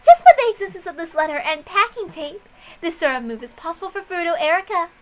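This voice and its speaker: A woman's voice: extremely high pitch, lively intonation, fast, soft background music, pitched above the performer's own voice. A woman voicing a needling, formally polite young female detective rival in a visual novel reading. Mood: smug, taunting.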